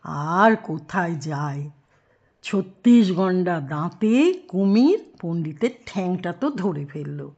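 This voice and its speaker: A woman telling a children's story expressively, with drawn-out emphasis and rhetorical questions. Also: lowish voice, lively intonation, a little mellow, husky, highs cut off.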